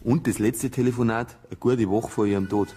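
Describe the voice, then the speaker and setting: An actor playing an earnest, dry, matter-of-fact detective with a Bavarian accent in a naturalistic radio drama. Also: male voice, mid-range voice, steady tone, fairly quick, warm tone, lightly acted. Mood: businesslike. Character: earnest male detective, patient but easily irritated.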